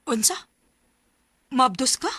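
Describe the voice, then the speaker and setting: A woman's voice: sits high, animated, takes it slowly, bright, forceful tone, breathy edge, dry recording, heavily acted. An actress with a Filipino accent playing a trusting young girlfriend who gets hurt, in a radio drama. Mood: shocked. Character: soft-spoken trusting young girlfriend, later betrayed and heartbroken.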